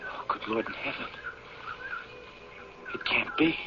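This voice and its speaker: An actor playing a driven, earnest young expedition leader in a radio drama, speaking dramatically. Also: male voice, unhurried, lightly acted. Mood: horrified, urgent. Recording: muffled, noisy old radio recording.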